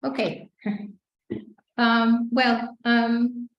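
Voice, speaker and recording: A woman's voice: a little high, little pitch movement, drawn-out words. A woman hosting an informal online meeting, speaking a little hesitantly over a video call. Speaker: webinar host. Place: indoor room on a video call. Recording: echoey room, muffled video-call audio.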